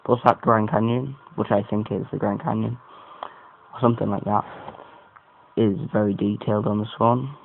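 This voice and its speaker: A man with a croaky voice giving a casual, rambling, off-the-cuff product review as an amateur. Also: fairly even intonation, moderate pace, long pauses. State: croaky voice today. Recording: muffled phone-like sound, faint rumbling noise.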